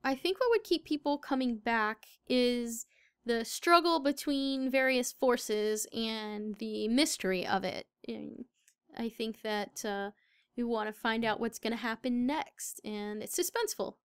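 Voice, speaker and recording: A woman's voice: a little high, measured pace, clean tone, dry recording, studio quality. A very young-sounding woman with an American accent, answering interview questions as a voice actor. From Southern United States.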